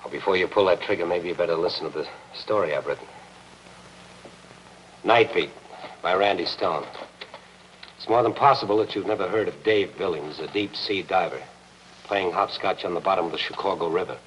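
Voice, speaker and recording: A man's voice: low-pitched, brisk, long pauses, close to an everyday voice. An actor in his forties playing a persistent, world-weary city reporter in a 1950s noir television drama, dry and sardonic. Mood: dry, composed. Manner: dry, sardonic, hard-boiled. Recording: noisy 1950s film soundtrack.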